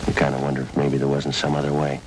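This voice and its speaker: A man's voice: rumbling low, fast. A man playing a weary, guilt-ridden police officer in an old-time radio drama, subdued and terse, with an American accent. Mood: somber, remorseful. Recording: noisy old radio recording, steady hiss.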